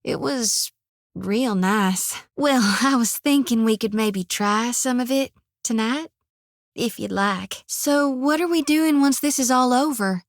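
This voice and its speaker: A woman's voice: on the high side, slow pace, no echo, clean studio sound. An actress voicing an earnest, devout young farm-raised woman priest, folksy and stubborn. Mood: affectionate. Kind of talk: video game voice acting. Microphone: dry studio mic.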